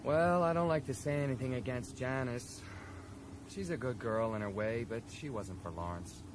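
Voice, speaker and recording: An actor plays a weary, regretful American family man in a radio play. He talks plainly and informally in a medium-pitched voice. Loud vehicle noise runs behind him. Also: normal speed, natural voice. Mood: rueful. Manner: informal, rueful, plain-spoken. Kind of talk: radio drama dialogue. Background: car engine noise.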